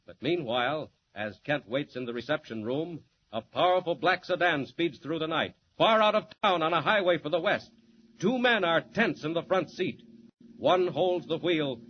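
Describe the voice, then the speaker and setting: A man's voice: a little high, expressive, moderate pace, dull audio. A man announcing and narrating a 1940s radio serial in a dramatic, declamatory way. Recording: scratchy 1940s radio transcription.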